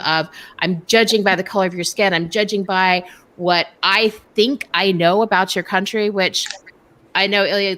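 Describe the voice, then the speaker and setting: A woman hosting a casual panel discussion on a video call, talking in an impassioned, animated way with quick asides. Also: medium pitch.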